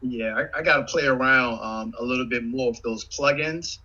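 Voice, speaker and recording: Male guest, bright forward voice, relaxed, halting with fillers, muffled recording. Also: medium pitch. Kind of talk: casual chat.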